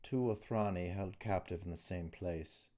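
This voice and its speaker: A man's voice: sits low, moderate pace, darkish tone, close to an everyday voice. A man voicing a wary male captive, curt and wry, in an audiobook reading. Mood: uneasy.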